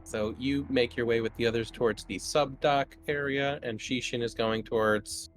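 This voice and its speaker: A man running a tabletop game, improvising narration casually with halting explanations. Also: medium pitch, steady tone, slight background noise. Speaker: game master.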